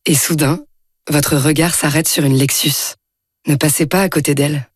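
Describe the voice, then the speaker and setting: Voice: medium pitch, fairly even intonation, normal speed, husky, no room sound, clean studio sound. A voice-over artist reading scripted narration for a radio commercial.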